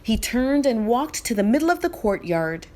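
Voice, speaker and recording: A woman reading a children's story aloud in expressive scripted narration. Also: on the high side, moderate pace.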